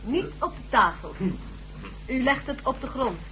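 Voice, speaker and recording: An actress playing a calm young woman doctor with mind powers, speaking coolly and plainly in an old radio play. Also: high voice, a little slow, noisy background. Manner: cool, calm, matter-of-fact. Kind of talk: 1970s radio drama acting.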